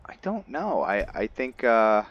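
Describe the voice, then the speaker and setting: A man's voice: mid-range voice, big pitch swings, unhurried, highs cut off. A man giving unscripted video game commentary, musing and hesitant as he thinks aloud while role-playing. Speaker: gaming video host, role-playing a character.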